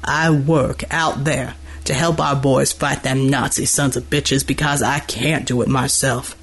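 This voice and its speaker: A gruff, husky older foreman from the docks performed by a man, huge and proud. He talks in plain, folksy working-man's phrases with a defiant, hot-tempered edge, as a character in an audiobook. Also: fairly even intonation, normal speed. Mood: furious. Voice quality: gruff, rumbling. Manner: folksy working-class American speech, defiant.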